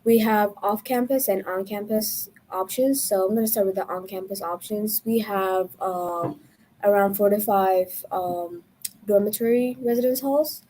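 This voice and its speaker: Young woman from ethiopia, mellow voice, explaining housing stuff, bit of hum behind. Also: medium pitch, rough recording. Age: young adult. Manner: informative, measured, with some ums. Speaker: student presenter.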